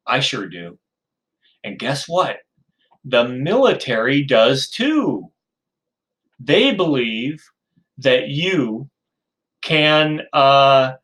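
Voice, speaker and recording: A man in his fifties talks through a lecture in a relaxed, conversational way. His voice sits at an ordinary middle pitch. He peppers his points with ums and wry jokes about himself. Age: 50s. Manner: chatty, self-deprecating asides, frequent ums. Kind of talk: informal recorded lecture.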